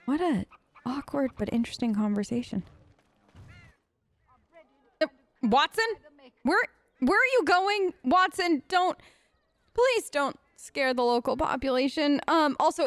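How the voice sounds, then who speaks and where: High-voiced chatty woman gamer. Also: expressive, a little slow, pauses often, dry recording. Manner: chatty, hesitant, speaks in character to companions. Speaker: let's play host. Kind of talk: casual role-play game commentary.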